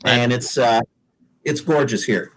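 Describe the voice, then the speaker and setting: A man's voice: medium pitch, a little slow, a bit bright, a little hiss or hum, rough recording. A middle-aged American man from Oregon chatting casually as an interview guest, easygoing and jokey, on a laptop video call. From Oregon, United States. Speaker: guest singer-songwriter.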